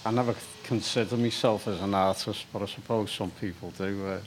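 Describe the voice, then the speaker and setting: Older Liverpool man, low voice. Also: steady tone, brisk, a little hiss or hum. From England. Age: 60s. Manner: hesitant, with many fillers and restarts. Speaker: stone carver. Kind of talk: relaxed interview storytelling.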